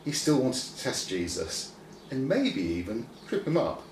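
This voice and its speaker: A man reading a scripted children's story expressively, with deliberate pauses. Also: mid-range voice, wildly swooping pitch. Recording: roomy, distant mic, faint noise.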